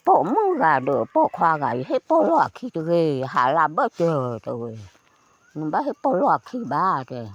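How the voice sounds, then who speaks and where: Animated folk storyteller with lively pitch. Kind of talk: oral folk-tale storytelling, conversational.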